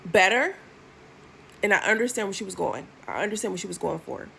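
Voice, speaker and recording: A woman's voice: medium pitch, expressive, a little fast, vocal fry, pushed and bright, slight background noise. A woman in her thirties giving blunt, sassy music commentary for her video channel, with lots of ums and asides. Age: 30s. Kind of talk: casual opinion commentary.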